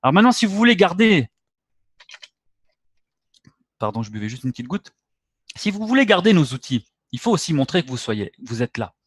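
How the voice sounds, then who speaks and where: A man's voice: a little high, very animated, fairly quick, long pauses, breathy edge, no room sound. A man hosting a trading webinar, talking bluntly and lecturing his viewers directly. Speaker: webinar host.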